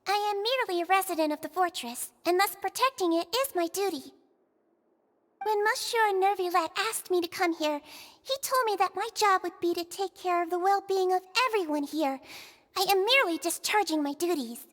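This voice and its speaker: A woman's voice: very high voice, moderate pace, fairly bright tone, in a large hall. A woman voicing a tiny, sweet, dutiful childlike nurse with formal, courteous speech in a video game. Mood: modest.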